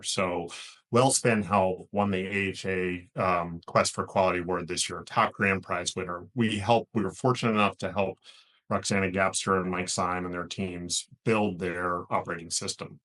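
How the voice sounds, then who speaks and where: A man with a deep voice and an American accent speaks as an interview guest. He sounds like an executive explaining his work, earnest and measured. He often repeats and rephrases as he builds a point. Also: a bit bright. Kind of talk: thoughtful interview answer.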